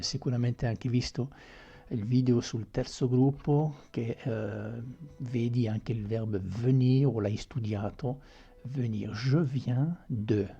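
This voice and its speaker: A man's voice: medium pitch, dark tone, husky, highs cut off. A man teaching a grammar lesson, patiently repeating examples and checking in with "ok?" as he goes. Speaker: French teacher.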